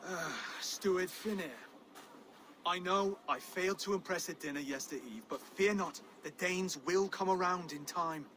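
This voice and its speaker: An actor playing a soft, earnest Saxon would-be king, genteel, hesitant and excitable, in a video game cutscene. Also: high-pitched, unhurried. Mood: eager, flustered. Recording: game ambience, clear background noise.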